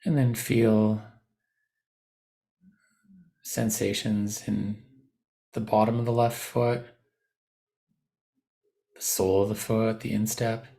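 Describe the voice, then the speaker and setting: Gravelly-voiced man guiding meditation. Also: fairly low pitch, level tone, takes it slowly, long pauses, dark tone. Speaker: meditation guide. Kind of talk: guided body scan meditation, unscripted spoken instruction.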